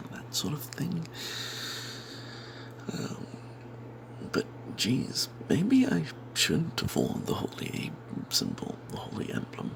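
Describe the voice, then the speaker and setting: A man narrating a fantasy role-playing tale in first person as ASMR, in a breathy, secretive whisper, rambling wryly as if not to be overheard. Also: mid-range voice. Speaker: storyteller narrating a tabletop game tale.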